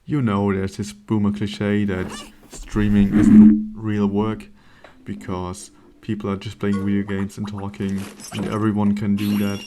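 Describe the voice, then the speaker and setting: A man with a German accent, a native German speaker, giving halting, unscripted commentary on gameplay as he searches for words. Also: on the low side, completely flat delivery, noisy background. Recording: game music playing behind. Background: video game music.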